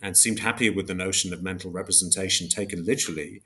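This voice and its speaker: A man with a fairly low voice gives considered answers as an academic guest in an interview. He feels his way through each idea, often stopping to rephrase and qualify himself as he explains. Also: steady tone, fast talker, pushed and bright. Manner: hesitant, self-correcting, reflective academic explaining. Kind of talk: thoughtful interview discussion.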